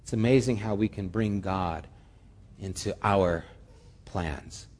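A pastor giving a conversational sermon with humorous asides. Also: male voice, fairly low pitch, takes it slowly, darkish tone, long reverb tail.

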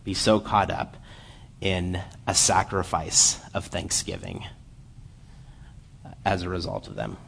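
A man in his late twenties giving a church sermon, lively while telling a story and then quiet and earnest as he reads scripture. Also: low voice, slow pace, creaky, long pauses, slight background noise. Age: 20s. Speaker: lay preacher.

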